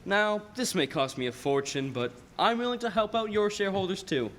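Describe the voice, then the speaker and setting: A man's voice: high voice, fairly quick, a bit bright, slight background noise. A young man playing a scheming rich banker in a stage radio play, smug, condescending and falsely helpful. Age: teens or young adult. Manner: smooth, condescending, theatrical. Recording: reverberant hall recording.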